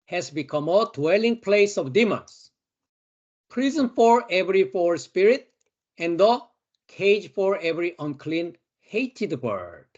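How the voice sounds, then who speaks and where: A man with a Korean accent, a Bible teacher giving a study lecture, slowly and emphatically repeating key words. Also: sits high, lively intonation, darkish tone, highs cut off.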